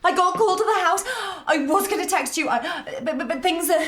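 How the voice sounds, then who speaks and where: A frightened younger woman in an audio drama, very high and animated in voice. She stammers, pleads and bristles in her sister's defence. The recording carries some background noise. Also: fast talker. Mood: panicked, pleading. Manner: stammering, agitated.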